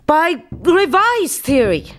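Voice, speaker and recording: A woman playing an earnest, embattled professor of science, halting and pleading, in a radio drama. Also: very high-pitched, sing-song, a little hiss or hum, heavily acted. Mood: defensive. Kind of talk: theatrical radio drama dialogue.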